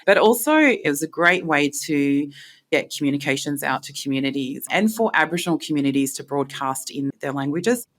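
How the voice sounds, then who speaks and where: A woman's voice: low-pitched, lively intonation, fairly quick. Australian woman in conversational interview. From far western New South Wales, Australia. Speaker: interviewee, media professional. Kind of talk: reflective interview talk.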